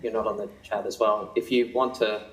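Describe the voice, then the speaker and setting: A man chairs a parliamentary committee hearing in a slightly low voice. He keeps an informal, joking tone with members. His voice rings in an echoey committee room. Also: level tone, a little fast, a little hiss or hum.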